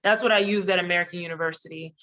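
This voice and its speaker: A man's voice: high voice, fairly even intonation, racing, sharp tone, lo-fi sound, a little hiss or hum. A man whose first language is Haitian Creole, a diplomat giving earnest, chatty advice on a panel, with frequent restarts. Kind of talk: casual advice on a webinar panel.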